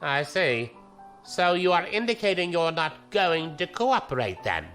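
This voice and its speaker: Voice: medium pitch, sing-song, measured pace, fairly bright tone, soft background music. A performer playing a cold, officious imperial commodore in a science fiction audio drama, with formal, clipped politeness. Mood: cool, formal.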